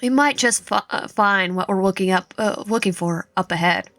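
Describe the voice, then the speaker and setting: A woman's voice: medium pitch, normal speed, fairly bright tone, close to an everyday voice. Woman reading a polite young prince, echoey. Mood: courteous. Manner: formal, courteous. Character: polite young male prince, courteous travelling companion. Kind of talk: visual novel character reading. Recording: echoey room, faint music behind.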